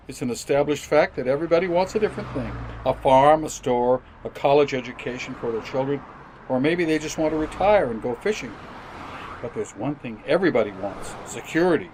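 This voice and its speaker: A man's voice: mid-range voice, slight background noise, natural voice, low-quality recording. An amateur actor playing a flustered, earnest family man in an old-time radio sitcom, hesitant and prone to speechifying. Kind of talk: re-created 1950s radio sitcom acting.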